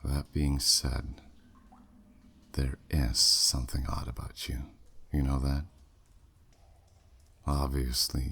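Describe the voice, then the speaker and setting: A man's voice: a bit bright, full character voice. A man voicing a flirty, menacing demon who taunts a captive in a teasing, smug way, in a scripted ASMR roleplay. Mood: intrigued, suspicious. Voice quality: very deep, husky, breathy at phrase ends. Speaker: audio roleplay performer.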